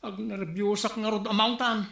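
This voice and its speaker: A man's voice: high voice, flat delivery, moderate pace, vocal fry, fairly bright tone. An actor playing a nervous, deferential male village aide in a radio comedy drama. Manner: deferential, anxious, calls others "Chief". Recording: echoey room with music behind.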